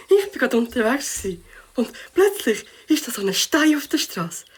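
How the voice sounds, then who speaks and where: A woman's voice: high voice, sing-song, measured pace, breathy edge, exaggerated voice. A woman voicing a hapless, dramatic catfish with a Swiss German accent in a children's audio play. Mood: tearful. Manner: theatrical, exclamatory.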